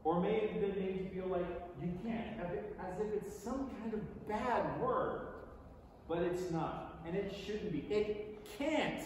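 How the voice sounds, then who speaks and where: A middle-aged American man giving a theatrical, conversational staged reading to an audience, deliberate and emphatic, with pauses. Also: high voice, a little mellow. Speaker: stage reader performing a book. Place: large theatre stage, indoors. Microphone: far audience camera. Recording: distant, echoey theatre recording with noise.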